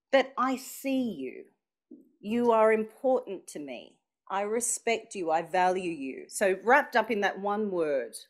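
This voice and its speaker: An Australian woman hosting a live event, speaking to a room full of people in a chatty, playful way that draws the audience in. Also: mid-range voice. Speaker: master of ceremonies at an event launch.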